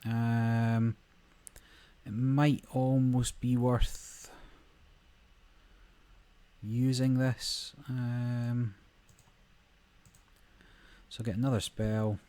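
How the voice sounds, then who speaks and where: A man hosting a gaming channel, talking through a card game in a relaxed, rambling way, his voice a little croaky. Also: medium pitch, drawn-out words, long pauses. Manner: relaxed, rambling, many fillers. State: slightly croaky voice. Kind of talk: casual gameplay commentary.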